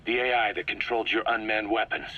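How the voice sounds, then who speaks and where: An actor playing a bitter, angry soldier in a video game cutscene, throwing accusations in a curt, gravelly, scornful way. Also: male voice, on the low side, flat delivery, fast, sharp tone, lo-fi sound. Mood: curt suspicion. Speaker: interrogator.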